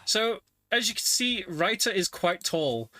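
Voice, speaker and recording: A man's voice: sits high, lively intonation, unhurried, sharp tone, no echo. A man giving casual, chatty live commentary as he plays through a game in a speedrun. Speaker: speedrunner commentating his run. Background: video game music.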